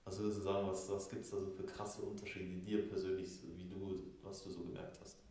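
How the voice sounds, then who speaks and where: A man's voice: sits low, monotone, fast, mellow. A man hosting a podcast, asking casual questions hesitantly and repeating words as he searches for them. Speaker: podcast host. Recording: very echoey room, faint noise.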